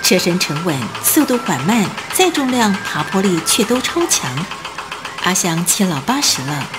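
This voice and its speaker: A woman narrating a scripted radio drama in an expressive storytelling manner. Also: mid-range voice, normal speed, background noise, natural voice.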